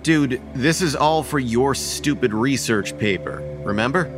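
A young man playing a bossy, practical college student in an audio horror drama, brisk and sardonic. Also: medium pitch, over music, lightly acted. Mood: impatient. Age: young adult. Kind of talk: radio horror drama acting.

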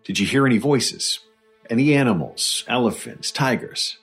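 An actor playing a patient doctor who gently coaxes and questions a witness in an audio drama. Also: male voice, mid-range voice, expressive, normal speed, gravelly, breathy edge, soft background music. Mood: probing, measured. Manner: coaxing, mild, inquisitive. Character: patient, probing male doctor interviewing a witness. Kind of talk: audio drama acting, investigative interview.

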